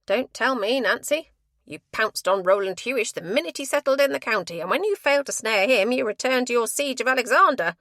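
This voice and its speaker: A woman voicing a blunt, scornful older mother who talks loudly in coarse, ungrammatical speech. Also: on the high side, expressive, a little fast, no echo, clean studio sound, pitched above the performer's own voice.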